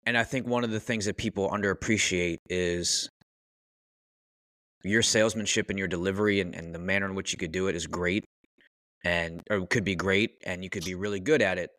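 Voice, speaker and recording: A man's voice: low-pitched, fairly even intonation, a little fast, pushed and bright, dry recording. A man giving opinionated, casual podcast talk as a guest, in a blunt, emphatic and colloquial way.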